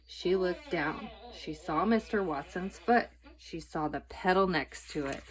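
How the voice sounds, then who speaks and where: A woman's voice: fairly low pitch, unhurried, low-quality recording. A woman narrating a children's book as a storytime reader.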